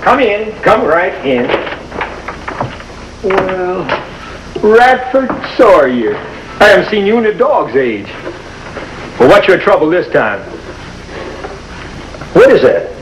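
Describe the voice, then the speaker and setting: An actor playing a gruff but kindly small-town American doctor, brusque and folksy like an uncle, in a 1950s television drama. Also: male voice, sits high, big pitch swings, slow pace, pauses often, full character voice. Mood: gruffly kind. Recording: noisy old 1950s television soundtrack.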